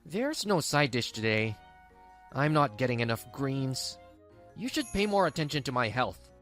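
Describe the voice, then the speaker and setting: A woman's voice: extremely low pitch, very animated, normal speed, faint music behind. A woman voicing a selfish, dismissive young husband in a manga dub, whiny and condescending. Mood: demanding.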